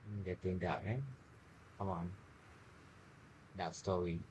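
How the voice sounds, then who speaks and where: A man's voice: sits low, level tone, takes it slowly, a little mellow, slight background noise, rough recording. A man with a Malaysian accent chatting casually and offhandedly while streaming a game. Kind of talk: casual livestream chat over gameplay. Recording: game music behind voice.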